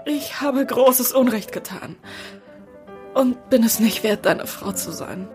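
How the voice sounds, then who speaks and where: Ein Mann spricht in einem Märchenhörbuch eine gedemütigte junge Prinzessin, kleinlaut, reuig und den Tränen nah. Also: male voice, very high voice, slow pace, faint music behind, pitched above the performer's own voice. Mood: remorseful, tearful. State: tearful. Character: humbled young princess, remorseful and meek. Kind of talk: fairy-tale audiobook character acting.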